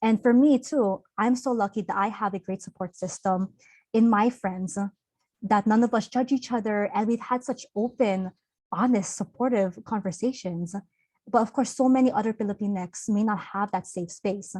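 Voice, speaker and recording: A woman's voice: mid-range voice, normal speed, darkish tone, no room sound, low-quality recording. Earnest young woman presenting a workshop. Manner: earnest, open, conversational with fillers. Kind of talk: online workshop talk. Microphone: video call.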